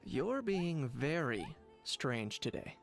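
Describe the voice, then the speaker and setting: Young game adventurer, male, mid-pitched, deadpan, measured, observant, faint music. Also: creaky, exaggerated voice. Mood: dry, suspicious. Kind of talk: anime game voice acting.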